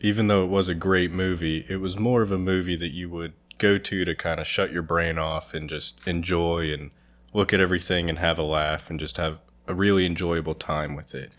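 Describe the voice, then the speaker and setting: Low-voiced young man chatting as a guest. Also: steady tone, a little fast, a bit bright. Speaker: guest reviewer. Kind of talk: casual conversational movie review.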